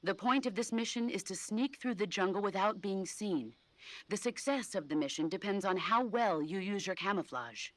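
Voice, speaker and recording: A woman playing a composed mission support officer in a video game, explaining things clearly, patiently and firmly. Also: medium pitch, animated, normal speed, natural voice. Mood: instructive. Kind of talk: video game tutorial briefing.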